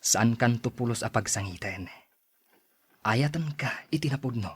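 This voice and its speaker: Lovestruck young Ilocano suitor, lively pitch. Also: male voice, moderate pace, husky. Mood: tender. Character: lovestruck earnest young man, devoted suitor and son. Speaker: radio drama actor. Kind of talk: melodramatic radio drama acting.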